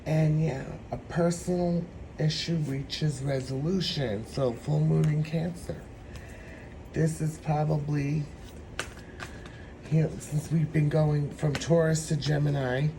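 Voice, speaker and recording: A man with a hoarse, troubled throat giving a meandering, reflective spiritual tarot reading. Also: on the high side, steady tone, mellow, a little hiss or hum, poor audio. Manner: meandering, reflective, slow-spoken. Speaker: tarot reader.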